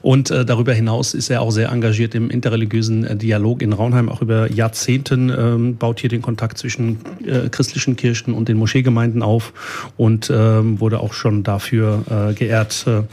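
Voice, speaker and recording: A man's voice: medium pitch, level tone, moderate pace, warm tone. A man hosting a local radio interview, speaking hesitantly with many ums and uhs. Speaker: host of a local radio show.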